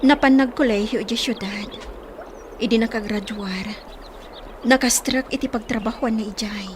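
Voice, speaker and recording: A woman's voice: a little high, moderate pace, a little hiss or hum, lightly acted. An actress with an Ilocano accent playing a lovelorn young village woman in a radio drama. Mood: wistful, longing.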